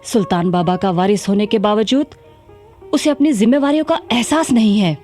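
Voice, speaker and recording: A woman's voice: medium pitch, normal speed, warm tone, faint music behind, natural voice. Earnest young warrior princess acted by a woman. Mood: troubled, indignant. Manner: earnest, formal, dramatic delivery. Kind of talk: Hindi historical radio drama acting.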